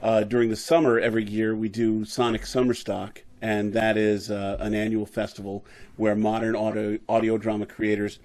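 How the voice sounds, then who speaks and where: A middle-aged man chatting casually as an interview guest about his creative work, enthusiastic but halting in his delivery. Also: lowish voice, completely flat delivery, darkish tone. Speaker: guest audio drama creator.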